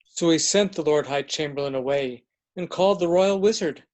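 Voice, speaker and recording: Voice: mid-range voice, normal speed, a little mellow. A narrator reading a storybook tale in a scripted readers theater performance.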